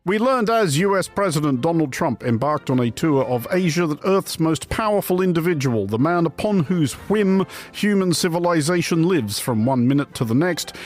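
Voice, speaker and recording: A man presenting a satirical news review as scripted narration, with a deadpan, wry and wordy delivery. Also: a little high. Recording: music bed under the voice. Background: music.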